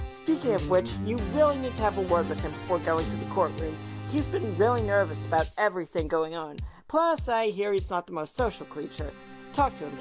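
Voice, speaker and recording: A stern, scolding mentor to a rookie lawyer acted by a woman in an audio drama. The voice swings expressively at a medium register, serious and easily exasperated, over clear background music. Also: lowish voice. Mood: serious, advising. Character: strict, easily exasperated prey-animal legal mentor. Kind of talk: amateur audio drama acting. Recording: music bed under the voice.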